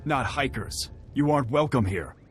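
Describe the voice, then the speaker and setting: An actor playing a proud, confrontational clan warrior in a video game, brusque and scornful toward outsiders. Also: male voice, medium pitch, expressive, normal speed, soft background music, natural voice. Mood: hostile, scornful. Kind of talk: video game cutscene acting.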